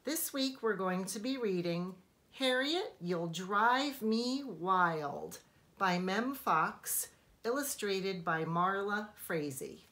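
A woman teacher reading a children's storybook aloud in a deliberate, expressive, emphatic way for young listeners. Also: mid-range voice, slight background noise.

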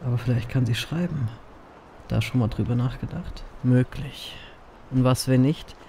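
Brooding, self-mocking grim reaper narrator, male actor, mid-pitched with heavy fry, audio drama over music. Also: normal speed, dark tone, slight background noise, close to an everyday voice. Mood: wry, arguing with himself.

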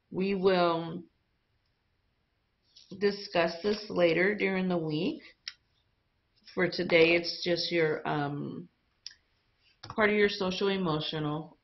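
A woman teacher reading a picture book aloud to young students in an expressive storytelling style. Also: lowish voice, lo-fi sound.

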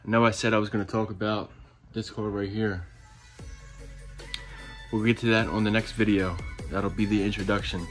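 Casual male vlogger, twenties, low voice with flat delivery, laid-back car-build narration on a handheld phone. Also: normal speed, faint music behind. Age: 20s. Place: home garage workshop, indoors. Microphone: handheld phone at arm's length.

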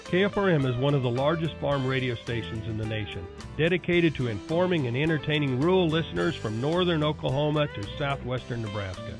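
A man's voice: a little high, expressive, a little fast, few pauses, over music. Male announcer reading a station promo. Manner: polished promo read. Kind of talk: promotional voice-over.

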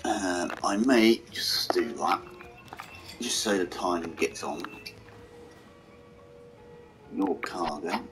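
A man with a hoarse, croaky voice, mumbling casual commentary over a strategy game and talking to its vehicles. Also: low-pitched, takes it slowly, rough recording. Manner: mumbling, talks to the game's vehicles. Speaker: gaming channel host. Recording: game music behind voice.

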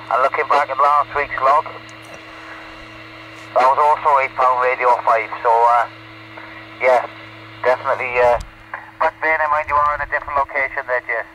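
A man's voice: medium pitch, fairly even intonation, moderate pace, long pauses, bright, forceful tone. A man running a CB radio net in casual chat, heard as a radio transmission. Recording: noisy CB radio in open air.

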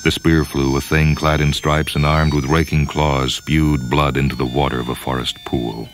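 A man narrating a story in a dramatic, measured, grave manner. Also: very deep voice, level tone, a little hiss or hum. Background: music.

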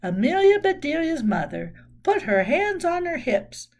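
An older woman in her sixties reads a children's book aloud as a storyteller, expressive and deliberate. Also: very high voice. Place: small room at home.

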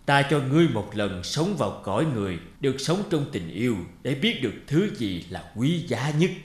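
A man voicing a wise old deity in an animated fairy tale, solemn, measured and lecturing, stern yet compassionate. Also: medium pitch, natural voice. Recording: roomy echo over guitar music.

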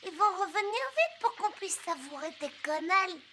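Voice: very high voice, lively intonation, moderate pace, sharp tone, slight background noise, exaggerated voice. An actor voicing a small, naive, sweet boy chick in a cartoon, childlike and eager. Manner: childlike, exclaiming, wide-eyed. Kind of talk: cartoon dubbing.

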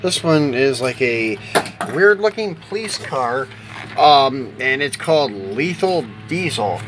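A middle-aged American man giving casual, informal unboxing commentary with rambling asides. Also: medium pitch. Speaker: toy car collector hosting an unboxing. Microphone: handheld camera or phone mic. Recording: home camera with clear background noise.

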